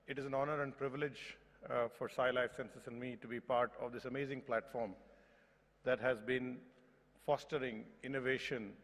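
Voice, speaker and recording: A man in his forties with an Indian accent, giving a formal conference speech at a podium microphone in a large hall. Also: medium pitch. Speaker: company executive speaker. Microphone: podium gooseneck microphone. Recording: reverberant hall public address.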